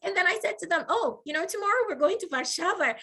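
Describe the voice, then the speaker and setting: A woman's voice: very high voice, lively intonation, fast. A woman with a Nigerian accent, a faculty member, talking over a video call as an interview guest and telling stories with frequent laughs. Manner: warm storytelling with frequent laughs.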